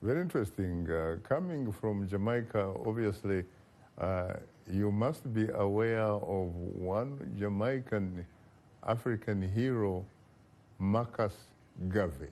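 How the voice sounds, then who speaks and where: A man hosting a broadcast interview, asking measured questions with frequent pauses and fillers. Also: fairly low pitch, animated, darkish tone. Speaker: talk show host.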